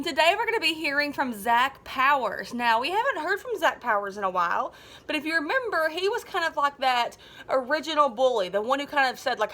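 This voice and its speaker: A woman's voice: sits high, sharp tone. A woman hosting a read-aloud of a children's novel, speaking in a chatty, upbeat, casual manner.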